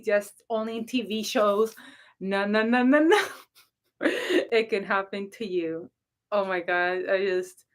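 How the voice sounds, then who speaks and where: A woman hosting a casual livestream, telling a story with a naturally loud voice, rambling and laughing between throat clears. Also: on the high side. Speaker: livestream host, spiritual reader.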